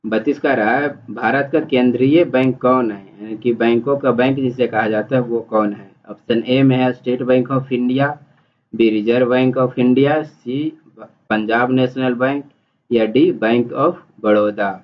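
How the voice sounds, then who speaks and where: A man's voice: mid-range voice, steady tone, a little slow. A man teaching exam prep, reading multiple-choice questions and explaining the answers in an instructional, repetitive way. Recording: dull, muffled lo-fi audio.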